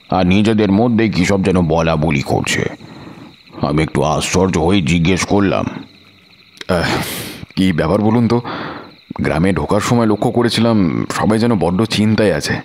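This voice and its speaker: A man playing a calm, devout wandering tantric mystic who narrates his own story in a measured, reflective way for an audio drama. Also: sits low, full character voice. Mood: puzzled. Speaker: first-person narrator.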